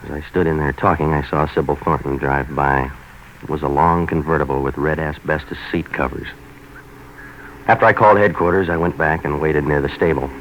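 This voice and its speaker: An actor playing a hard-boiled, wisecracking private operator in a deadpan, sardonic, clipped 1940s radio noir style. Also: male voice, very deep voice, a little fast. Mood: deadpan, brooding. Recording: noisy vintage 1940s radio recording.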